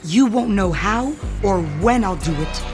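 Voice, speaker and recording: A woman's voice: mid-range voice, animated, a little slow, over music, close to an everyday voice. An actress playing a bitter, threatening wife in an audio soap opera, cutting and commanding as she confronts her rival. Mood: menacing.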